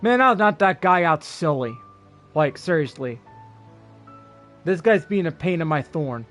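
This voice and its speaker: A man's voice: fairly high pitch, lively intonation, measured pace, fairly bright tone. A man giving casual live-stream commentary as a game streamer, rambling and informal. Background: game music.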